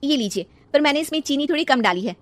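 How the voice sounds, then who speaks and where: A woman's voice: high voice, lively intonation, quick pace, dry recording. A woman voicing an earnest, plaintive young woman of the household in a cartoon family drama. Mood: meek.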